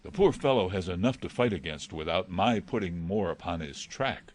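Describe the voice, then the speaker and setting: Low-voiced, deferential butler played by a man. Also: animated, moderate pace. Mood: hurt, apologetic. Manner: hesitant, formal, respectful. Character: deferential, anxious male butler, pleading and loyal. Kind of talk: dramatised reading of a classic novel.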